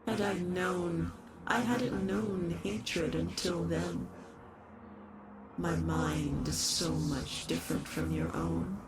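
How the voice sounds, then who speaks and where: A woman voicing a possessive, obsessive eldritch horror in a scripted roleplay, speaking formally and deliberately, with a creaky, soothing yet sinister delivery. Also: fairly low pitch, fairly even intonation, crowd noise, poor audio. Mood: brooding, resentful. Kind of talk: scripted roleplay audio monologue.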